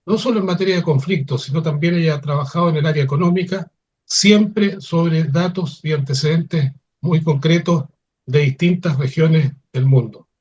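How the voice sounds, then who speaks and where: A man hosting an interview, giving a formal, measured introduction and then asking questions. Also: on the high side, darkish tone, husky. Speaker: interview host. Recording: dull lo-fi sound.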